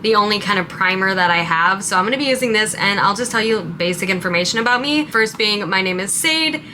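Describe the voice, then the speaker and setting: A bright-voiced woman in her late twenties chats in a casual get-ready-with-me vlog, rambling happily from one thought to the next at a middling pitch. Faint background noise sits under her voice. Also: animated, brisk. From Montana, raised in Southern California. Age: 20s. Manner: rambling, chatty and upbeat. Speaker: content creator.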